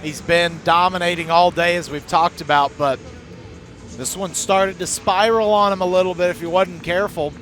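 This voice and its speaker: Male color analyst for baseball radio, slightly high voice, conversational and explanatory, faint music behind. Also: fairly even intonation, moderate pace, fairly bright tone. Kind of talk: conversational live sports analysis. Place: outdoor ballpark broadcast.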